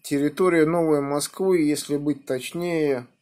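A middle-aged man with a slightly high voice speaks slowly and deliberately, like someone on a ham radio contact. He sounds encouraging and measured, recorded indoors on a phone. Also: fairly even intonation, darkish tone. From Moscow region, Russia. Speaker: amateur radio operator. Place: indoors at a home radio desk by a window. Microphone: phone filming the radio.

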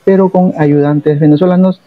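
A Peruvian man in his thirties telling a personal travel story straight to the camera, reflective and steady, often adding little tag questions. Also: fairly high pitch, moderate pace, no echo, narrow, tinny audio. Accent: Peruvian Spanish. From Peru. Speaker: vlogger.

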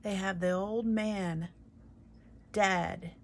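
A woman's voice: mid-range voice, fairly even intonation, extremely slow. A woman reading a storybook aloud, voicing a tall, brusque castle servant who speaks bluntly and emphatically.

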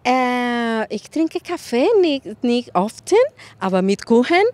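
A middle-aged woman with a foreign accent answering casually in short, halting phrases. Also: a little high, lively intonation, a little mellow, no room sound. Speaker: interviewee. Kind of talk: casual interview in a second language. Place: outdoors on a courtyard path. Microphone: interviewer's handheld microphone.

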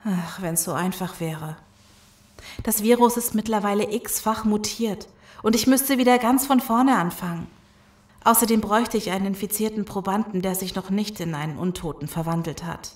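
An earnest female scientist played by an actress in a horror audio drama. Her voice sits at a middle pitch and rings with room echo. She sounds like someone explaining grim research. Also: normal speed, darkish tone, natural voice. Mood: resigned. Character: earnest scientist woman explaining a zombie virus. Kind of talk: German audio drama acting.

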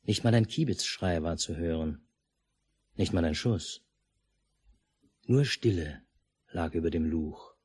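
An actor playing a stoic, dryly grumbling country father and narrator in a 1950s German radio play, laconic and unhurried. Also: deep voice, darkish tone, no room sound.

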